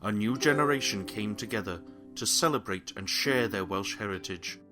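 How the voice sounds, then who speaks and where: A man with a slightly low voice reads a heritage documentary in a measured, solemn tone. Soft guitar music plays beneath him. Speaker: documentary narrator. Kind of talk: scripted narration.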